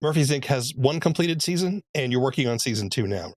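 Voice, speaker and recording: A male podcast host asks thoughtful questions that halt now and then. He has a mid-pitched voice. The sound is dry. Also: expressive, brisk, darkish tone, no echo. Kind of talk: interview questions.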